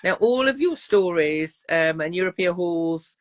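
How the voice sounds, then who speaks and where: A woman's voice: fairly low pitch, lively intonation, a little slow. A woman hosting a casual interview, affectionate and calling her guest darling. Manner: affectionate, calls people darling and love. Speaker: interview host.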